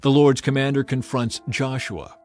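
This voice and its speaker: A man with a medium-pitched voice narrates scripture as dramatized audio. His delivery is solemn and declamatory, like formal storytelling. Music plays softly behind him. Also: steady tone, moderate pace. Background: background music.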